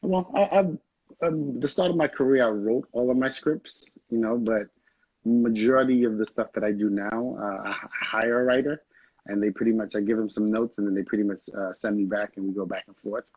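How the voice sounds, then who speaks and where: Young man from Haiti, husky low voice, dull video-call sound, relaxed rambling talk. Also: fairly quick, no echo. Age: young adult. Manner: relaxed, rambling, laughs often. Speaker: guest, film director. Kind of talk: casual interview chat.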